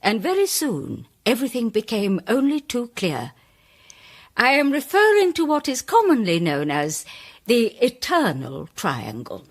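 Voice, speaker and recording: Grand lady playwright in a radio mystery: self-important, declamatory, hugely animated delivery with a slightly husky edge. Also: fairly high pitch, exaggerated voice. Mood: grave, dramatic. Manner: declamatory, grandiloquent, deliberate emphasis. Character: theatrical, self-important woman playwright presenting her case. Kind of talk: dramatised audio mystery, formal speech-making.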